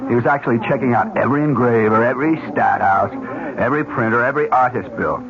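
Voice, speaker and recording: A man's voice: medium pitch, fast talker, crowd noise, close to an everyday voice. A man playing a cynical, scornful American corporate manager in a radio drama, talking in a slangy, sardonic way. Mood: scornful, bitter. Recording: muffled old radio, faint noise.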